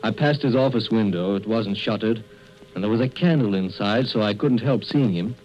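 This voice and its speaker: An actor playing a mild, unhurried husband in a vintage radio drama. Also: male voice, fairly low pitch, a little mellow, lightly acted. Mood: musing. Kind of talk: 1930s radio acting. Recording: muffled vintage radio broadcast, faint music.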